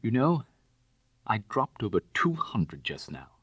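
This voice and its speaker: A man voicing a bitter, proud young gentleman who is ruined and desperate, speaking formally and emotionally in an audiobook story. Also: lowish voice, very animated, slow, husky, no echo, pitched above the performer's own voice, heavily acted. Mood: agitated. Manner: emotional, self-reproaching, formal.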